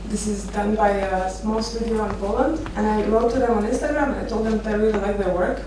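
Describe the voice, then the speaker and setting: Female presenter from Romania, 30s, relaxed storytelling with hesitant phrasing; captured distantly in a reverberant venue with clear background noise. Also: mid-range voice, fairly quick. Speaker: architecture photographer giving a conference talk. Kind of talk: informal presentation with personal stories. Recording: echoey noisy venue, distant microphone.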